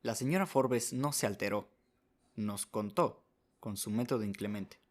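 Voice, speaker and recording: A man's voice: fairly low pitch, measured pace. A man narrating a short story as a scripted radio drama, reading as the narrator.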